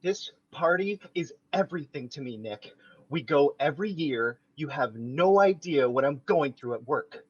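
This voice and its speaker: A man's voice: on the high side, lively intonation, normal speed, breathy edge, muffled recording. A man reading a vain, bossy young man in an amateur table read. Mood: self-pitying. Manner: bossy, coaxing, self-important.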